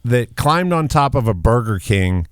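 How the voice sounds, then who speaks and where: A man who is a guest on a podcast, a comedian and punk singer, talking loudly and easily in casual conversation and often laughing. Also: medium pitch, big pitch swings, measured pace, clear, resonant tone, no room sound, clean studio sound. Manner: loud-voiced, laughing, easygoing storyteller.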